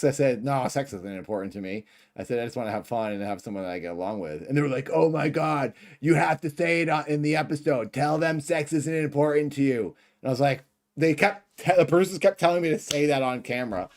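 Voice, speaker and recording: A middle-aged man with a lisp, rambling through a livestream reaction with laughing asides. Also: fairly high pitch. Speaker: livestream host.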